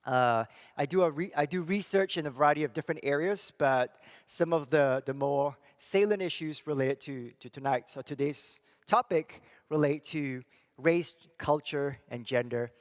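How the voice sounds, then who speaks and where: A middle-aged man from Singapore giving a church talk, thoughtful and halting, with many fillers and restarts. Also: on the high side. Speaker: church speaker and psychology professor. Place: church hall. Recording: long reverb of a hall.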